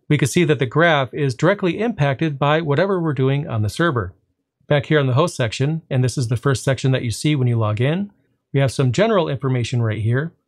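A man hosting a tech tutorial, explaining software step by step in a relaxed way, often pausing on a drawn-out "well" before the point. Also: mid-range voice. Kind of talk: screen-recorded software walkthrough.

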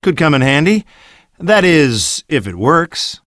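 A man's voice: fairly high pitch, very animated, slow pace, fairly bright tone, dry recording, studio quality, exaggerated voice. A man playing a cocky, sarcastic tough horror action hero in a video game, with a sardonic swagger. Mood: wry. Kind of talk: video game cutscene acting.

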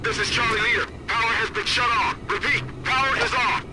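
An actor playing a tense male police squad leader in a video game, making clipped, forceful radio calls. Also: very high-pitched, fairly even intonation, brisk, over music. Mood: urgent.

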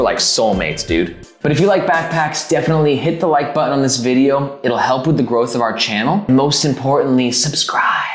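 A man in his thirties talks straight to the camera in a loose, slangy way, blunt and animated as he rates a backpack. His voice sits at an ordinary middle pitch. The office room around him rings with echo. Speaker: backpack reviewer and channel host. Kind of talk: casual direct-to-camera review. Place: office room with glass walls. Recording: echoey room.

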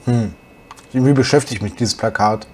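A young man with a slight cold, hoarse, chatting casually as he plays a truck driving game for his channel. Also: medium pitch, normal speed, a little hiss or hum. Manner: relaxed, chatty, musing aloud while driving. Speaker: gaming channel host.

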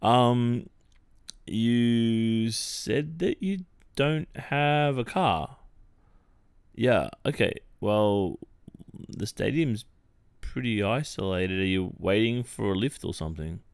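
An Australian man playing an awkward, polite young college football captain in a boyfriend roleplay, speaking in a hesitant, halting way. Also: mid-range voice, animated, gravelly, clean tone, dry recording. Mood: bashful, awkward. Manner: hesitant, halting, with many pauses and soft awkward filler. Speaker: ASMR roleplay performer. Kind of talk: ASMR boyfriend roleplay, one-sided improvised-sounding dialogue.

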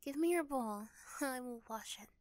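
A woman voicing a sweet, caring, shy young neighbour girl in an ASMR roleplay, gentle and hesitant. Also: sits high, lively intonation, normal speed, dry recording, pitched above the performer's own voice. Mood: shy, subdued.

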